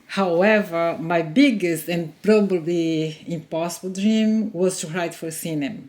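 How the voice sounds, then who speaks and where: A woman's voice: lowish voice, unhurried, darkish tone. A Brazilian woman in her forties, Portuguese her first language, telling her story in English with learner's grammar and frequent laughs. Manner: earnest, laughs often, simple learner's grammar. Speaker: vlogger. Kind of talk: casual storytelling.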